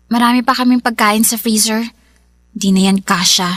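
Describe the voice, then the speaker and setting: A woman's voice: a little high, fairly even intonation, normal speed, fairly bright tone, no room sound, close to an everyday voice. A woman playing a sullen young schoolgirl, curt and dismissive, in a Tagalog radio drama. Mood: cold, dismissive.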